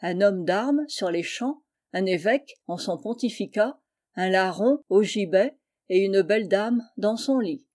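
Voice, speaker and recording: Storybook king, played by a female reader: imperious, vengeful, pompously gallant, mellow timbre. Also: medium pitch, animated, a little slow.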